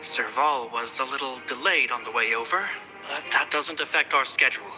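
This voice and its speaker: A man's voice: mid-range voice, close to an everyday voice, poor audio. A man voicing a formal, composed young officer. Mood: composed, businesslike. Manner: measured, formal, polite. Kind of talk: video game voice acting. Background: background music.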